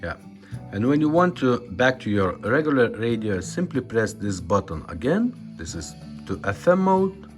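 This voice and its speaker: A man presenting a casual product demonstration in a low-key, halting way. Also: big pitch swings, faint music behind. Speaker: presenter demonstrating a retrofitted car radio.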